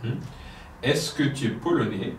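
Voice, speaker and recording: A man's voice: medium pitch, lively intonation, unhurried. A man teaching a French lesson, prompting and correcting his student patiently. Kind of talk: language lesson drill. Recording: roomy, distant mic, faint noise.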